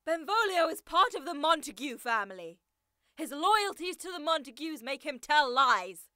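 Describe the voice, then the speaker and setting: A woman's voice: sits high, expressive, normal speed, sharp tone, no room sound, studio quality. An actress playing an anguished, vengeful noblewoman, melodramatic and demanding, in a modernized Shakespeare audio drama. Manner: wailing, melodramatic.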